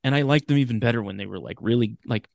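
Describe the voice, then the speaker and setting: American dude on a podcast, bit of fry in the voice, dry close sound, opinionated, swears casually. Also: male voice, medium pitch, fast, a little mellow, no echo. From Sacramento, California, United States. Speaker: podcast guest, host of his own show.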